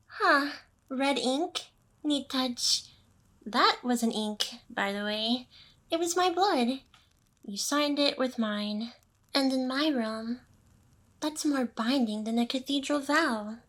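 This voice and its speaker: Slow, teasing demon boss lady, acted by a woman. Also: a little high, fairly bright tone. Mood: amused, teasing, then smug. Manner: slow, teasing, seductive delivery with pauses. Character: smug, teasing, possessive ancient demoness boss. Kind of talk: intimate ASMR roleplay monologue.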